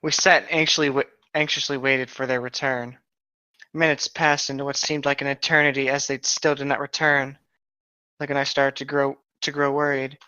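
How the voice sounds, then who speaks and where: A man's voice: mid-range voice, flat delivery, moderate pace, bright, forceful tone, clear, resonant tone, no room sound. A man reading a fan fiction story aloud as narrator, breaking into casual banter, on a slightly dull recording.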